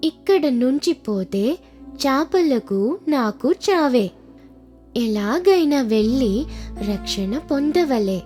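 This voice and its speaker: A woman's voice: sits high, very animated, takes it slowly, pauses often, mellow, soft background music, heavily acted. Woman voicing a chatty old cartoon turtle. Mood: frightened. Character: old chatty pond turtle, male, frightened.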